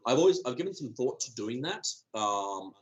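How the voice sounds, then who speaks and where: A man answering technical questions in a casual chat, thinking aloud with many ums and restarts, his voice going hoarse. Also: mid-range voice, sing-song, moderate pace, dull audio. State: losing his voice, clearing throat. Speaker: developer answering community questions.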